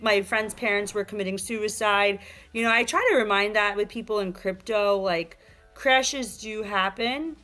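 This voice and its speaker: A young woman from New York with a New York accent, talking casually as a guest about her game, streetwise and full of fillers. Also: medium pitch. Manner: energetic, streetwise, many fillers like "like" and "you know". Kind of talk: casual interview chat. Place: virtual talk show, remote.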